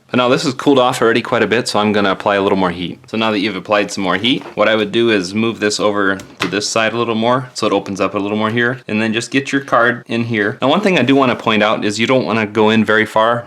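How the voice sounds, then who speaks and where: A man's voice: fairly low pitch, pushed and bright. A man giving casual, plain-spoken step-by-step repair instructions as a tutorial host.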